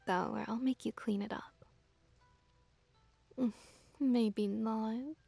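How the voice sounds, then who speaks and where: A woman's voice: fairly high pitch, no room sound. A woman playing a teasing, dominant yet doting kitsune witch in an ASMR roleplay, cooing and often dropping toward a whisper. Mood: tender, cooing. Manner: cooing, teasing baby talk, soft whispery passages.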